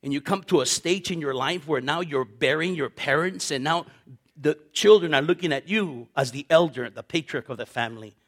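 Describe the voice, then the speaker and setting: A man's voice: a little high, moderate pace. A man in his fifties preaching, telling personal stories with repetitions, laughter and acted-out voices of others. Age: 50s. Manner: animated, repeats words, laughs often, acts out quoted voices. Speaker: pastor. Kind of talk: sermon with personal storytelling.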